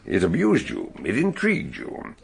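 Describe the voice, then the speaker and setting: Eccentric british sleuth in a radio play, deep voice, huge swoops, musing and knowing, theatrical. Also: male voice, huge pitch swings, moderate pace, vocal fry, slightly rough, heavily acted. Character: eccentric, shrewd male doctor detective, deliberate and knowing. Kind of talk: radio drama acting.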